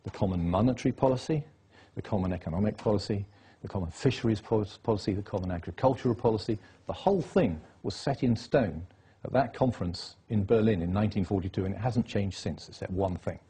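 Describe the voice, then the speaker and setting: A man speaks as an interview guest with a deep-set, mellow, strongly husky voice. He talks in a lecturing, insistent way, stacking up dates and facts one after another. He rarely lets a point go. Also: fast. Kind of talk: political interview.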